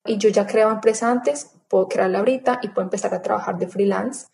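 A woman in her thirties talks through her story in a relaxed interview. Her voice is mellow and warm, at an everyday pitch, and she slips into little quoted asides of what she thought back then. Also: quick pace, creaky. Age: 30s. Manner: chatty, animated retelling with quoted inner thoughts. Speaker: interview guest.

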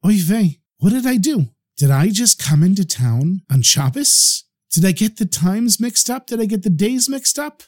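Man voicing plaintive simple farmer, swooping high. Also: wildly swooping pitch, normal speed, few pauses, a little mellow, full character voice. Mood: worried, bewildered. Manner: exclaiming, plaintive questions, earnest.

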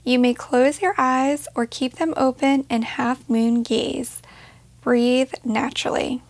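A woman leading a guided meditation in a gentle, measured way, leaving pauses between short phrases. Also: sits high, fairly even intonation, dry recording.

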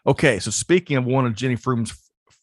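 A man's voice: medium pitch, a little fast, dry recording. A man hosts a casual interview, upbeat and chatty. He restarts his phrases often as he talks. His voice is dry and close to the mic. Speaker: podcast host.

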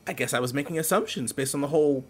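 A man playing a caring, teasing middle-aged uncle in a naturalistic audio drama, speaking in a gentle, wry, reassuring way. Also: on the high side, very fast, close to an everyday voice. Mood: curious, concerned.

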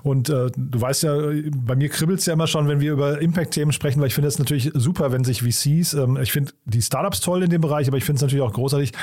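A man's voice: fairly high pitch, fast, few pauses, a little mellow. A man hosting a podcast, talking in an informal, enthusiastic, conversational way with guests. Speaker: podcast host.

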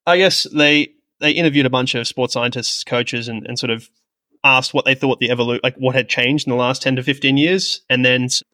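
Fast-talking man with a bright, forceful voice. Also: medium pitch, fairly even intonation. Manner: rambling, self-deprecating, reflective. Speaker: podcast guest, sports technology professional with medical and coaching background. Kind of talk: conversational interview, explaining own experience.

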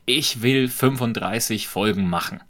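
A man with a light Franconian accent, a podcast guest talking in a reflective, measured way and explaining with examples. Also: medium pitch, fairly bright tone. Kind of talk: conversational podcast talk.

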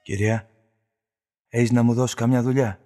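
An actor playing a ragged, brawny drifter seeking farm work in a radio play, terse and direct. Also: male voice, medium pitch, steady tone, dark tone, lightly acted. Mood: pleading, determined. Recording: long reverb with saxophone music behind.

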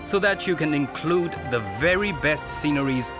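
An actor playing a gracious, regal Chinese emperor in a radio drama, speaking in a measured way. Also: male voice, fairly high pitch, close to an everyday voice. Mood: gracious, pleased. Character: stately, gracious Chinese emperor with grand ambitions. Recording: music behind the voice.

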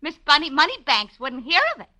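Brassy, sharp, high-voiced showgirl. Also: animated, brisk, full character voice. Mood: brassy, determined. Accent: American. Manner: sassy, sharp-tongued, slangy. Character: tough, brassy blonde showgirl, cool and scheming. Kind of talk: 1940s radio detective drama acting. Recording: vintage 1940s radio broadcast.

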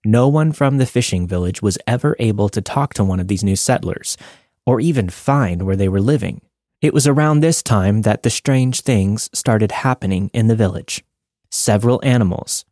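Low-voiced man narrating, close and dry. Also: a little mellow, no echo. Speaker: podcast host and narrator. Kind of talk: scripted storytelling narration.

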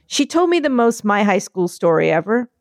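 A woman chatting casually, telling a story and acting out quotes in a higher voice. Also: normal speed, no echo, studio quality. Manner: animated, acts out quotes in higher voice.

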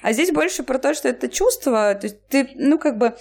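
A woman co-hosting a casual podcast, talking in a lively, rambling way, often laughing and restarting her sentences. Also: high voice, normal speed. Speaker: podcast co-host.

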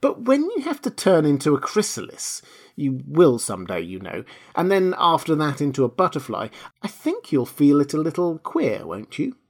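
Guy reading as a flustered little storybook girl, polite n earnest, voice pitched up and swooping around. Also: wildly swooping pitch, normal speed, heavily acted.

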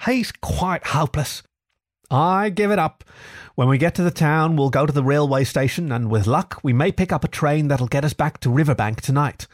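A man voicing a brisk, no-nonsense storybook water rat, stern, firm and exasperated. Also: a little high, no room sound, studio quality, voice pushed up, close to an everyday voice. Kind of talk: storybook character reading.

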